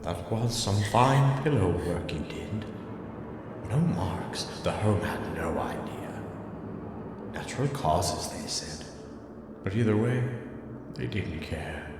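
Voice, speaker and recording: A man's voice: low-pitched, lively intonation, slow pace, long pauses. A man playing a sadistic, genteel serial killer spirit in a horror audio drama, taunting and menacing with theatrical relish. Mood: gloating taunting. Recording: echoey cavernous chamber with clear noise.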